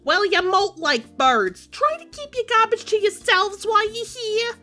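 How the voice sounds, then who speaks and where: A woman's voice: very high-pitched, animated, measured pace, pushed and bright, soft background music, full character voice. A woman playing a bossy, brash, complaining receptionist at a cosmic office in a comic sci-fi audio drama. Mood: grumpy, dismissive.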